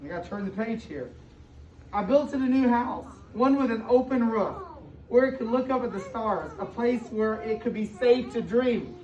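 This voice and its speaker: An American woman reads a picture book aloud to young children, pausing to explain and ask questions, with emphatic stretched words. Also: on the high side, dark tone. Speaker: school superintendent reading aloud to children. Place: indoor room with children present. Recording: distant, echoey, muffled room recording with noise.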